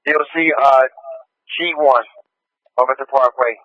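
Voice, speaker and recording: A man's voice: medium pitch, fairly even intonation, normal speed, no echo, lo-fi sound. A man working as a police dispatcher, giving terse calls and replies over a scanner radio.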